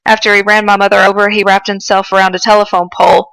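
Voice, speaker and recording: Casting: embittered male fox read by a woman, sardonic, mirthless, bright voice, storybook reading. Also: medium pitch, little pitch movement, fast, creaky, clean tone, no room sound, poor audio. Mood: bitter. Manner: mirthless, sarcastic. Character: bitter, sarcastic adult male fox. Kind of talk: fan fiction read aloud.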